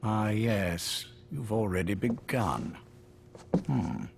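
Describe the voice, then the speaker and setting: An actor playing a courteous but menacing older spymaster in a video game, urbane, measured and wryly polite. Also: male voice, on the low side, lively intonation, vocal fry, a little hiss or hum, full character voice. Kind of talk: dramatic game voice acting.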